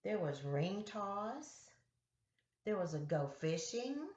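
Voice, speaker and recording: Lady doing storytime for little kids, really slow n deliberate, echoey dull recording. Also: medium pitch, very animated, a little mellow. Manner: deliberate, simple phrasing addressed to children. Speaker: children's storyteller reading picture books aloud. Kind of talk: read-aloud storytime for young children. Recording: roomy, echoey, dull lo-fi sound.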